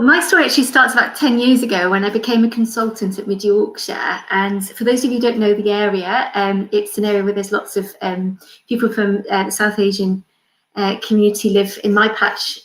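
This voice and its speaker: Woman, forties, Welsh, consultant, earnest, halting with fillers, echoey room. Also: medium pitch, steady tone, a bit bright. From Wales. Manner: earnest, many fillers and restarts. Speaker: respiratory consultant. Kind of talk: panel talk.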